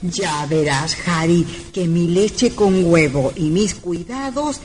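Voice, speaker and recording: A woman's voice: fairly low pitch, lively intonation, slow, a little mellow, a little hiss or hum. An actress playing a doting, sinister elderly woman in an old radio drama, speaking in a honeyed, solicitous way.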